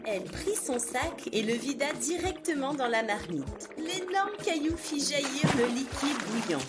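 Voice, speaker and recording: A woman narrating a scripted fairy tale for young children in an expressive, dramatic way. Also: sits high, measured pace. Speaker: children's storyteller. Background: music behind the voice.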